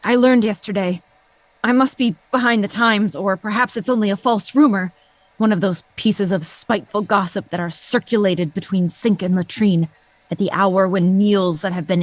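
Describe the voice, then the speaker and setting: A woman's voice: mid-range voice, no echo, lightly acted. A woman performing a grave, sardonic narrator in a theatrical recitation for an avant-garde radio play. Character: grave, sardonic narrator denouncing American war-making.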